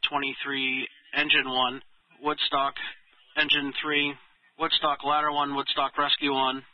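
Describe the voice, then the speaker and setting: A man's voice: medium pitch, monotone, unhurried, lo-fi sound. A man working as a fire dispatcher, giving clipped, formal, procedural announcements and read-backs over emergency radio. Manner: procedural, reads units back. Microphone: dispatch radio transmission.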